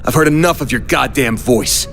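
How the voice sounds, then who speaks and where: A hard-boiled young game hero voiced by a man with a medium-pitched voice. He talks bluntly and swears like a seasoned mercenary who has no patience left. Some music sits behind the voice. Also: moderate pace, a bit bright, close to an everyday voice. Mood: cold contempt. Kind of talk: English-dub video game drama acting.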